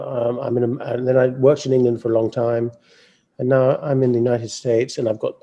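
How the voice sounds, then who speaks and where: A man who is a novelist speaking as a panel guest, halting and reflective, with stammered repeats. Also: mid-range voice, dark tone. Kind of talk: panel discussion.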